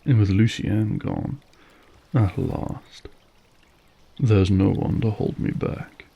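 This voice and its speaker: A man's voice: lowish voice, steady tone, slow pace, creaky, slightly rough, highs cut off, exaggerated voice. A male actor playing a suave, menacing, manipulative vampire lord in an audio roleplay, silky, mocking and formal as he savors each phrase. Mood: menacing, playful. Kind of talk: scripted audio roleplay acting.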